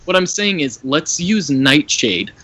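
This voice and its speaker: A man's voice: fairly high pitch, lively intonation, a little slow, sharp tone. A man chatting casually as a player in a tabletop game. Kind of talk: casual chat.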